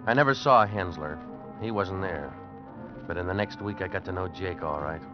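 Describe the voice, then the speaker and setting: A man's voice: low-pitched, moderate pace, music in the background, lightly acted. An actor playing a desperate American man on the run, telling and acting out his ordeal intensely in an old radio drama. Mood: bitter, tormented. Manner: intense, dramatic, agitated pleading. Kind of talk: 1940s-50s radio drama narration and acting. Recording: muffled old radio recording.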